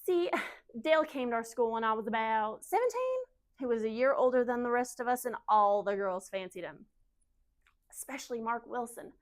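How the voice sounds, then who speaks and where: Casting: woman playing naive young wife, slightly high voice, confiding and halting, emotional stage monologue. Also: lightly acted. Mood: lovestruck, dreamy. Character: anxious young Southern wife, naive, defending her husband. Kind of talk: dramatic monologue in speech competition.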